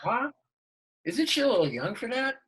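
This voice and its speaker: Amiable, clueless dad, husky everyday voice, sitcom read over video call. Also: male voice, extremely high pitch, very animated, moderate pace, a bit bright, full character voice. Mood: affable, puzzled. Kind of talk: comedy script table read. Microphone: computer on video call.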